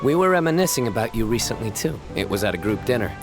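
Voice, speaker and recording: An actor playing a stern, composed young man in a video game, measured and formal with deadpan humour. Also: on the low side, over loud music.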